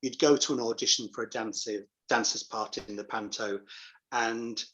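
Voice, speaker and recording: A man's voice: mid-range voice, steady tone, moderate pace, fairly bright tone, rough recording. A middle-aged man giving reflective, anecdotal answers as a pantomime performer in a casual interview.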